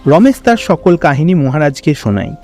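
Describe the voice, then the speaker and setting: A man's voice: on the high side, lively intonation, normal speed, warm tone, faint music behind. A man narrating a scripted fairy tale for a cartoon as storyteller.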